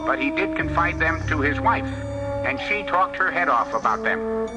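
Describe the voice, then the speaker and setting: A man plays a dutiful servant in a sci-fi radio drama. His voice sits fairly high and stays formal and deferential, with music clearly behind it. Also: natural voice, low-quality recording. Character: obedient servant assistant to a villain.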